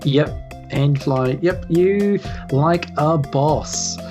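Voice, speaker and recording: A woman's voice: deep voice, very slow, music in the background. A woman improvising casual narration as the game master of a tabletop game.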